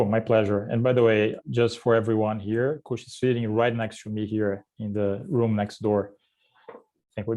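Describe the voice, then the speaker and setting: Low, mellow-voiced man with a Brazilian accent. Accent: Brazilian Portuguese. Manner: frequent uh fillers, friendly and self-deprecating. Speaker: guest speaker, head of corporate strategy. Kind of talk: casual webinar self-introduction. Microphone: video call.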